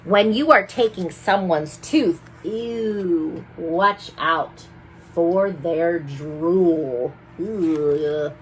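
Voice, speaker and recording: Lady playing a cheeky boastful tooth fairy telling a rhyming kids story, slowish, lowish voice, bit of background noise. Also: poor audio. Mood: mischievous. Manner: mischievous, theatrical. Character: sneaky, gleeful, boastful female tooth fairy narrating in rhyme. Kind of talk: rhyming storybook read-aloud.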